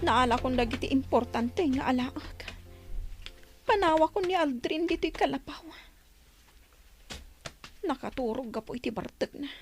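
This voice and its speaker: An actress in a radio drama playing a long-suffering, distressed young wife with a plaintive, earnest way of talking. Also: female voice, sits high, animated, normal speed, pauses often, soft background music. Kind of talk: Ilocano radio drama acting.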